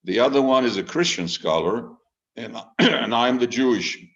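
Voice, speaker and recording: A man in his eighties from Israel, a retired rabbi, speaking informally and deliberately to an online group, with storytelling pauses and repeated phrases. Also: medium pitch, animated, fairly bright tone. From Israel, born in Haifa under British Mandate Palestine. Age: 80s. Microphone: video call microphone. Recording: dull, lo-fi video call audio.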